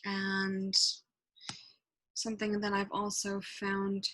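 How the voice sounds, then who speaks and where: A woman giving a casual, improvised software tutorial, thinking out loud and swearing offhandedly as she goes. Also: mid-range voice, monotone, slow. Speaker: tutorial presenter.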